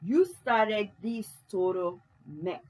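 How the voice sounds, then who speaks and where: A woman's voice: mid-range voice, sing-song, slow pace, darkish tone, poor audio. A woman reading the lines of a cornered husband in a stage play, dismissive and counter-threatening. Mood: defiant.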